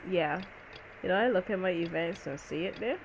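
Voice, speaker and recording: A woman's voice: fairly low pitch, moderate pace, poor audio. A woman chatting casually with friends as she plays a game, playful and slangy, often thinking aloud. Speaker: gaming vlogger. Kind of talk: casual gaming chat with friends. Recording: clear background noise.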